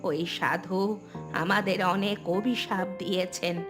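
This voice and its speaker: Lady doing anxious village mom in a cartoon, pleading, loud music under it. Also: medium pitch, steady tone, a little slow, lightly acted. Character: worried, fearful village mother of a grown son. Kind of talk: Bengali cartoon voice acting.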